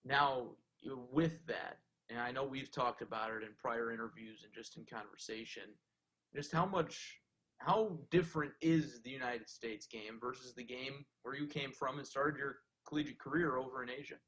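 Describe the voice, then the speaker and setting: A man's voice: mid-range voice, normal speed, fairly bright tone, rough recording. A man hosting a sports show, asking interview questions in a conversational, friendly way and often leading with "you know".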